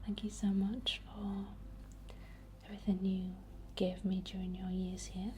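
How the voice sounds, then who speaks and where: A woman whispering breathily and gently as an ASMR host, chatting and guiding a healing ritual in an unhurried way. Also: mid-range voice, flat delivery, a little hiss or hum.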